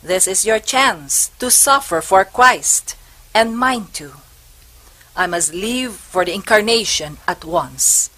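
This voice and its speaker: A woman playing a devout, steadfast nun in an amateur stage play, motherly but firm, speaking in a solemn, deliberate manner. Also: medium pitch, lively intonation, pushed and bright, exaggerated voice, rough recording. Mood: solemn encouragement.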